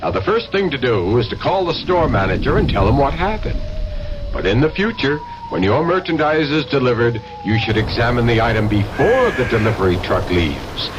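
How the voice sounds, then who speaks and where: A man's voice: mid-range voice, big pitch swings, moderate pace, heavy background noise, lightly acted. An actor playing a polite, instructive male adviser in an old radio ad. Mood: helpful. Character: helpful male consumer adviser in an ad.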